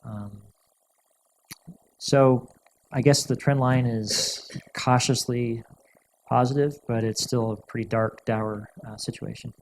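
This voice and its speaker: A male guest speaker answering audience questions in a measured, thoughtful way, often restarting and repeating words. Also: medium pitch, fairly even intonation, a little hiss or hum, rough recording. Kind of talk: lecture Q&A answers.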